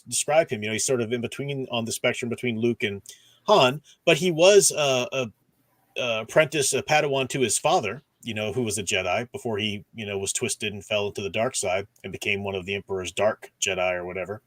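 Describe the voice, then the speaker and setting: A man's voice: medium pitch, dry recording. A man hosting a casual discussion stream, explaining at length and often dropping in "you know" as he goes. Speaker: host of a book discussion stream. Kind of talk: casual livestream discussion of comics and radio dramas.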